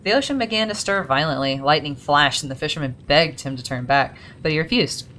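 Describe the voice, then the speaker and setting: Low, casual woman gamer reading lore, chatty asides, faint rumble underneath. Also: a little fast, a bit bright. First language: English. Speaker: gaming video creator.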